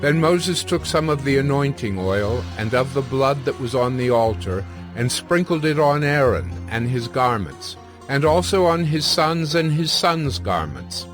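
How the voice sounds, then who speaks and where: Measured male scripture narrator over music. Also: medium pitch, lively intonation.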